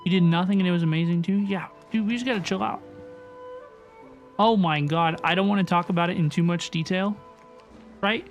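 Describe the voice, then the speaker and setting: High-voiced man chatting on a livestream. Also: steady tone, fairly quick, long pauses. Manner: chatty, informal, repeats phrases. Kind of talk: casual livestream chat and game reaction. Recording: faint game music behind voice. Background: video game music.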